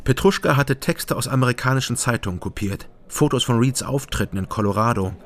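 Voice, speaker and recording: An actor playing a terse, matter-of-fact private detective who narrates and questions people in a German radio crime drama. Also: male voice, mid-range voice, fairly quick, a bit bright, lightly acted. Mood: sober, reflective. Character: male East Berlin private detective, ex-policeman, sober and probing.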